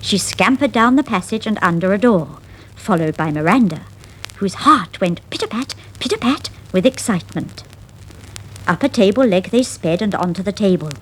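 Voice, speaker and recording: A woman narrating a scripted children's fable in a dramatic, expressive storytelling style. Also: medium pitch, husky. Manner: dramatic, expressive, deliberate phrasing. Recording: noisy 1960s vinyl record.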